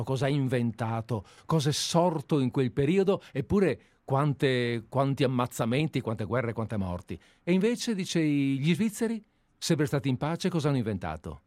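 A man's voice: mid-range voice, animated, normal speed, darkish tone, no echo. A man hosting a live radio show in a studio, chatting and wandering into anecdotes. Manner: chatty, digressive storyteller. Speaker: radio host.

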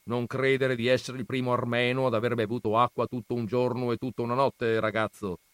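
Male reader as elderly patriarch, booming and commanding, dry close recording. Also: level tone, brisk, no room sound, natural voice. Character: stern old Armenian grandfather, patriarch.

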